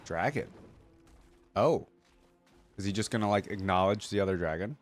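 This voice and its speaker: A man's voice: lowish voice, lively intonation, moderate pace, soft background music. A man hosting a reaction video, talking casually in an enthusiastic, slangy fan style.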